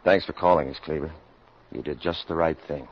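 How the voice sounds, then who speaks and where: A man's voice: a bass voice, steady tone. A man acting as a commanding, insistent public health doctor in a 1950s American radio drama. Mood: brisk, commanding. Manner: brisk, authoritative, insistent. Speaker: actor. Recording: dull vintage 1950s radio recording.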